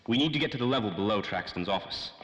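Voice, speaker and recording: A man as a deep-voiced, fussy radio-serial scientist. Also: expressive, fast, fairly bright tone, breathy edge, dull audio. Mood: pedantic. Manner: formal, wordy, pedantic. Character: fussy, self-important male scientist doctor. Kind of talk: 1930s old-time radio drama acting.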